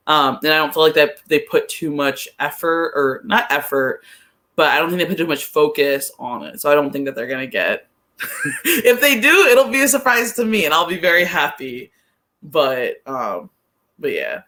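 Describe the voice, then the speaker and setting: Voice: medium pitch, huge pitch swings, moderate pace, pushed and bright. A podcast guest giving casual, tentative opinions in a football discussion.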